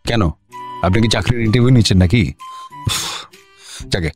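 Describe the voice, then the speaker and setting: An actor playing an impatient district magistrate in a Bengali radio comedy, curt and authoritative, with a West Bengal accent. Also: male voice, lowish voice, fairly quick, soft background music, lightly acted. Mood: exasperated. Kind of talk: radio play acting.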